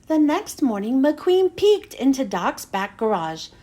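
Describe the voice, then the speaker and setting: A woman reads a children's story aloud. Her voice is high and swings up and down a lot, with dramatic emphasis on the storytelling. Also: very animated, unhurried, dark tone. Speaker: children's book reader.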